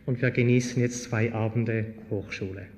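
Man, church host, friendly, improvised questions, middle pitch, echoing hall. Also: steady tone, moderate pace, darkish tone. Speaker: pastor introducing a guest. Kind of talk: live interview in a church. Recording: long hall reverb.

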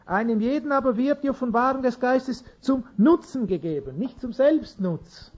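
A man's voice: very high voice, expressive, moderate pace, husky, lo-fi sound. A man preaching an informal sermon in an earnest way, chuckling now and then and restarting sentences.

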